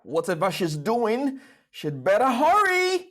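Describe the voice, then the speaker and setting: A woman voicing a cocky, boastful young boy adventurer in an animated series, with a brash manner. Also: fairly high pitch, slow, full character voice. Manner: brash, bragging. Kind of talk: animated series table read.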